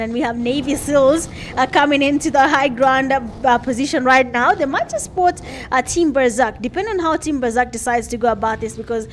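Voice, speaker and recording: A woman's voice: sits high, a little hiss or hum. A young Kenyan woman doing chatty live play-by-play commentary for an esports match, with plenty of filler words. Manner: chatty, laughs at herself, frequent uh fillers. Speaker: esports caster.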